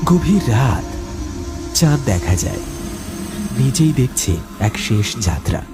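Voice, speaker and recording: Male narrator for dramatic horror audio tale: fairly low, lively intonation, over loud music. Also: a little slow.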